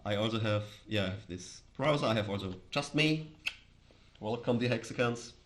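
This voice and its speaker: A man from Austria with an Austrian accent and German as his first language, chatting casually on a livestream in a hesitant, halting way. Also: lowish voice, a little hiss or hum. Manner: hesitant, halting, chuckles often. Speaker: live streamer, host.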